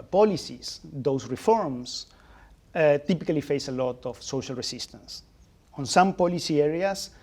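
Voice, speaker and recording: A man presents economic research at a panel launch, measured in delivery with the odd restart. His voice sits a little high for a man. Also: a little mellow. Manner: measured, with small restarts and fillers.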